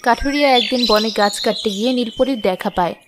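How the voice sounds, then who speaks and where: A woman's voice: a little high, fast talker, background noise. A woman reading scripted narration for a children's story as its narrator.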